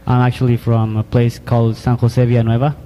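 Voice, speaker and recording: Flat-voiced man from Guatemala, hesitant. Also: medium pitch, brisk. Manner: hesitant, brief answers. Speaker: guitarist guest. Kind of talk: casual interview. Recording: dull, slightly noisy mic.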